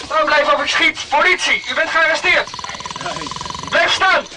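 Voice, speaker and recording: A man's voice: very high-pitched, normal speed, recorded from a distance, noisy background. An actor playing an officious policeman in a radio play, bellowing commands. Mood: urgent, threatening. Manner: barking, shouted commands.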